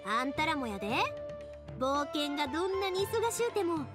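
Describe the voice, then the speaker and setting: A very high-pitched, cheerful young woman with a Kansai accent in an anime game. She is warm-hearted and friendly, and her pitch swings widely as she talks over background music. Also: normal speed. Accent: Kansai Japanese. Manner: expressive, friendly Kansai dialect speech. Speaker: voice actor in a video game. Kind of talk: anime-style game dialogue.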